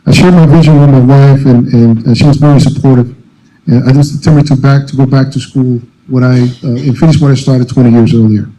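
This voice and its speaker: Earnest middle-aged man, dark mellow voice. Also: mid-range voice, steady tone, poor audio. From Dominican Republic. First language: Spanish. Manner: many uh and um fillers, measured and earnest. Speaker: alumni speaker. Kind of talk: prepared commencement speech.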